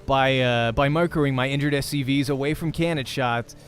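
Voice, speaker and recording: A man's voice: mid-range voice, moderate pace, faint music behind. A man reading a visual novel aloud as dramatic narration, sometimes stumbling over words and correcting himself. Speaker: let's play host and voice actor reading a visual novel.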